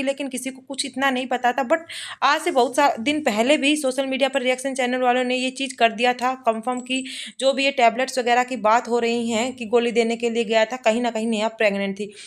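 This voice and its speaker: A woman giving casual gossip commentary in a rambling, repetitive way, mixing in English words. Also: on the high side. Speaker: reaction channel host. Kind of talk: casual gossip commentary on a social media family dispute.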